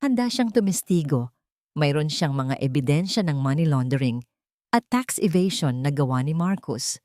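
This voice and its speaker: A woman's voice: low-pitched, expressive, dark tone, no room sound, studio quality. A woman voicing an eager adult man who plans with the heroine. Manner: brisk, eager.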